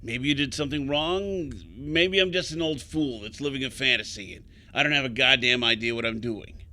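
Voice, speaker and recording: An actor playing a troubled, weary older man in an audio drama, speaking gravely and haltingly, with insistence. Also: medium pitch, pushed and bright. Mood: urgent, grave. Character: troubled, weary older man, secretive guide fearing an ancient evil.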